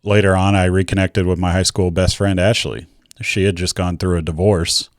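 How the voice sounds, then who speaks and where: Low-pitched American man, candid. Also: flat delivery, moderate pace, dry recording, clean studio sound. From Georgia, United States. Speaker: video creator telling his own story. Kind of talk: personal storytelling narration to viewers.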